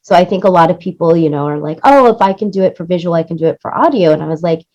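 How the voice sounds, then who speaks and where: A woman's voice: fairly low pitch, rapid-fire, dull audio. A woman being interviewed about her audio production work, explaining casually and animatedly, often checking for agreement. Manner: animated explaining, acts out quoted lines, seeks agreement with "right?". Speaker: interview guest, music supervisor and audio producer.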